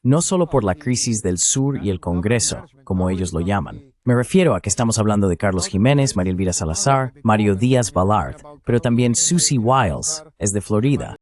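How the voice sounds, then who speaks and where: A man's voice: fairly low pitch, animated, brisk, few pauses, a little mellow, close to an everyday voice. A man giving a translated voice-over of an interview guest's political analysis.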